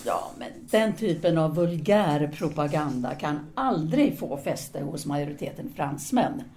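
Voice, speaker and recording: A woman's voice: deep voice, animated, darkish tone. An actress playing a composed, possessive intellectual French woman in an audio drama, formal and self-assured in her speech. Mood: dismissive.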